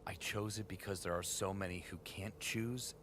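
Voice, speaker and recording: A man's voice: low-pitched, fairly even intonation, moderate pace, fairly bright tone, slight background noise. A man acting a weary, self-doubting hero in a fan-made science fantasy audio drama. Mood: doubtful, earnest. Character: weary, self-doubting heroic young male Titan guardian.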